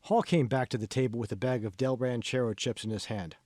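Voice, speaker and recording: A man's voice: medium pitch, fairly even intonation, brisk, mellow, dry recording, studio quality. A man narrating a novel in a scripted audiobook style.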